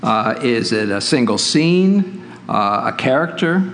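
A man's voice: medium pitch, sing-song, unhurried, a bit bright. A man moderating a book festival author panel, speaking with frequent fillers and restarts. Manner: hesitant, many uh fillers and restarts.

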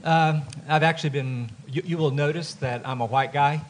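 A man's voice: fairly high pitch, steady tone, moderate pace, slight background noise. A man with a Southern US accent, an author speaking earnestly on a panel at a live event. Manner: earnest, explanatory. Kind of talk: panel talk. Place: festival event room.

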